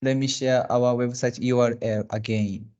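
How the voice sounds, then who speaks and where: A man speaks in an ordinary mid-range voice as he answers questions in an online webinar. His non-native English is halting and packed with fillers and restarts as he hunts for words. The sound is that of a plain video call. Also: medium pitch, fairly even intonation, darkish tone, smooth, muffled recording. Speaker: company representative presenting jobs in Japan.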